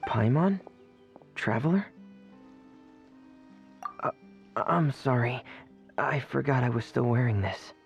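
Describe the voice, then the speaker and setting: Reserved young man, slow hesitant delivery, creaky voice, shy, video game. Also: mid-range voice, expressive, soft background music. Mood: hesitant, apologetic. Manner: hesitant, understated. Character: shy, soft-mannered young male diver, apologetic and reserved.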